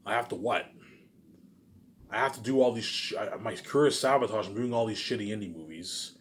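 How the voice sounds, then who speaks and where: A man's voice: fairly low pitch, a little fast, a little hiss or hum. A man giving a casual, unscripted monologue as a vlogger, speaking emphatically and slangily, repeating himself and letting phrases trail off into asides. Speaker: solo online vlogger.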